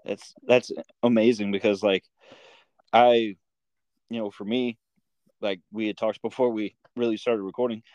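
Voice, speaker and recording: A man's voice: on the low side, flat delivery, moderate pace, pauses often, darkish tone, no echo, dull audio. An American man from the Midwest hosting a podcast in an informal, casual chat full of filler words like "like" and "you know". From Midwestern United States. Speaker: podcast host.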